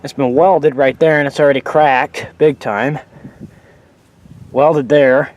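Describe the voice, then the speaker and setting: A man's voice: fairly high pitch, steady tone, takes it slowly, darkish tone, highs cut off. A man showing an old tractor and rambling through his restoration plans, a little sniffly, with restarts and asides as he works outdoors. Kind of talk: casual walkaround vlog. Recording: noisy outdoor handheld recording.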